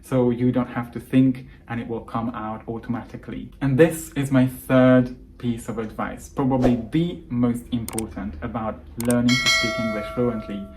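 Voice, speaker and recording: A young man, a native Hungarian speaker, coaching English learners through calm, earnest advice in a scripted monologue. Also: mid-range voice, moderate pace, few pauses, background noise.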